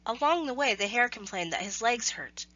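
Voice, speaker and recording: Bright-voiced woman reading a children's story. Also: a little high, lively intonation, normal speed, dull audio. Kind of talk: scripted children's story narration.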